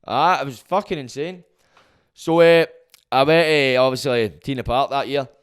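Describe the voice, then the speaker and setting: A Glaswegian man hosting his own podcast, rambling and swearing freely in a loud, booming voice. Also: moderate pace, smooth. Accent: Scottish, Glaswegian. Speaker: podcast host. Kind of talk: casual monologue.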